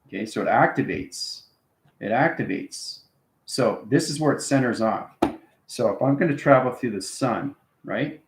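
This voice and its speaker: A man's voice: medium pitch, lively intonation, normal speed, slight background noise. A man giving an informal spiritual lesson to viewers in fragmented, jargon-heavy phrases, often checking in with short tag words. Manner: esoteric jargon in fragmented phrases with frequent check-ins like "okay" and "right". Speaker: online spiritual teacher. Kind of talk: informal explanatory demonstration to viewers. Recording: roomy, echoing sound.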